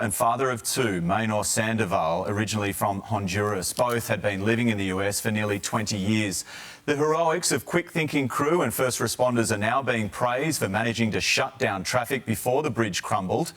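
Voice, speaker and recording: A man's voice: lowish voice, moderate pace, a bit bright. A man with an Australian accent presenting broadcast news and interviewing live as a TV anchor.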